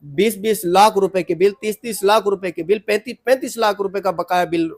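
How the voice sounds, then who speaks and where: A man's voice: high-pitched, a little fast, no room sound, studio quality. A man speaking as a community advocate in an interview, earnest and emphatic, repeating phrases to press his point.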